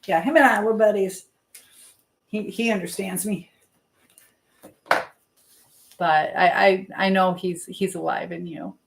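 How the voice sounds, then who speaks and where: A woman's voice: mid-range voice, steady tone, takes it slowly, gravelly, long pauses, echoey room, noisy background. A woman hosting a live stream in casual chat, drifting informally between advice to viewers and comments on what she is doing.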